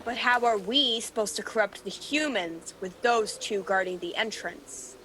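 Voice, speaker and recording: A woman's voice: medium pitch, a little slow, sharp tone, slight background noise, low-quality recording. A woman playing a sly, sarcastic demon henchman with a mocking, theatrical delivery in a radio play. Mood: scornful. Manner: mocking, mock-sweet, theatrical.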